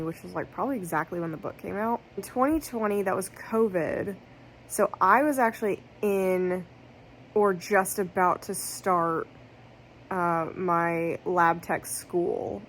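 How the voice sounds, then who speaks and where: A woman in her thirties vlogging about books in a rambling, casual way, tired and congested, recorded as a lo-fi phone clip. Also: mid-range voice. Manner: rambling, self-correcting, fillers like "like". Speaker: book vlogger. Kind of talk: casual chatty vlog. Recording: faint background noise, lo-fi phone clip.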